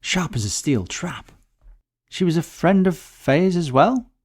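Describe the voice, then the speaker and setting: Man as a genteel, lively-pitched lady sleuth. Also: unhurried, husky, full character voice. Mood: thoughtful. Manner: polite, thoughtful, probing. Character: genteel, shrewd, sympathetic lady amateur detective with a cat.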